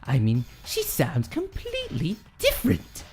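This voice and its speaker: Woman as a low, animated, serious teenager. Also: unhurried, soft background music, heavily acted. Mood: concerned. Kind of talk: anime game fan dub.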